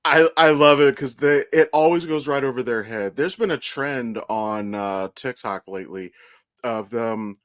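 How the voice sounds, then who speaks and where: An American man co-hosting a podcast, chatting casually and telling stories in a blunt, animated way with plenty of swearing. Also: mid-range voice, measured pace, no echo, studio quality. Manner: blunt, profane, animated storytelling. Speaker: podcast co-host. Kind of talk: casual chat.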